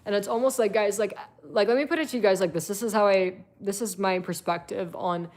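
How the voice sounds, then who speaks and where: A woman's voice: mid-range voice, brisk, darkish tone. A woman in her twenties giving a blunt, chatty live-stream monologue, full of fillers and swearing. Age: 20s.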